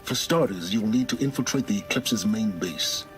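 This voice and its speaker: A low male actor's voice over clear music, as a cryptic middle-aged informant in a video game. He is imperious and measured, a schemer who gives orders and keeps secrets. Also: natural voice. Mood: measured, scheming. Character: secretive, commanding male informant serving his own interests. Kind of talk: dramatic video game acting. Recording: music behind the voice.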